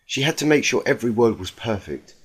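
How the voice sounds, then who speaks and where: Man reading a story with animated pitch. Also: brisk, slightly rough. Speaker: narrator reading fan fiction aloud. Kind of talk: dramatic amateur story narration.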